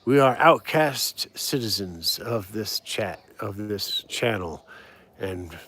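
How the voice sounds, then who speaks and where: A man's voice: lowish voice, lively intonation, slow pace, fairly bright tone, breathy edge. A man hosting a casual outdoor live stream, rambling, repeating words and laughing often.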